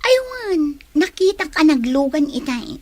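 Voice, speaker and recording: A woman's voice: sits high, sing-song, normal speed, darkish tone. A woman playing a sly accomplice who teases and helps plot a scheme in a radio drama. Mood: teasing.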